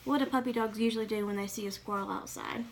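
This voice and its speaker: A woman's voice: mid-range voice, fairly even intonation, fast talker, vocal fry, noisy background. A woman reading a children's picture book aloud expressively, with questioning asides to young listeners. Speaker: read-aloud reader.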